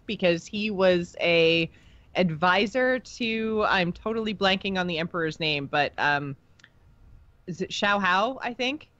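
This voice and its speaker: A woman's voice: mid-range voice, a bit bright, dry recording. Chatty woman podcast co-host, slightly dull audio. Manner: chatty, informal. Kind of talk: casual game lore discussion and recap.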